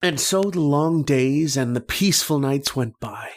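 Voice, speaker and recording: An actor playing a tormented, homesick man trapped in a dream, brooding and halting in an old-time radio horror drama. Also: a little high, lively intonation. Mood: wistful, homesick. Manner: brooding, halting, poetic delivery.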